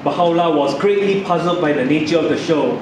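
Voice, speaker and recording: A man's voice: on the high side, a little fast. A man narrating a religious story from a script in a solemn, formal read-aloud manner. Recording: very echoey, noisy room, distant mic.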